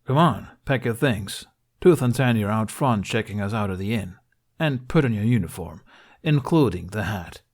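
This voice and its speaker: A man voicing a gruff, exasperated but caring male guardian in a storybook reading, scolding and sardonic. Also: fairly low pitch, expressive, moderate pace.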